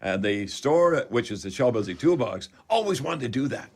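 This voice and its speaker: A man's voice: medium pitch, expressive, moderate pace, darkish tone. Older Canadian man, folksy radio host. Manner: folksy, rambling. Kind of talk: chatty radio call-in hosting.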